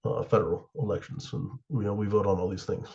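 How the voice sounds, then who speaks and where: A sick young man from the USA, slightly hoarse and raspy, giving a class presentation with many fillers and pauses. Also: on the low side, fairly even intonation, darkish tone, highs cut off. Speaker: student presenter.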